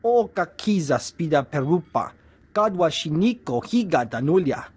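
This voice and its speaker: Video game alien henchman: raised pitch, husky, otherworldly creature voice. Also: sing-song, normal speed. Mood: argumentative. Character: male alien underling speaking an invented tongue.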